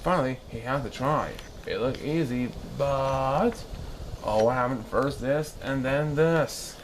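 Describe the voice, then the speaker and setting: A man reading a children's story aloud in an expressive, animated style with dramatic pauses. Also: medium pitch, background noise.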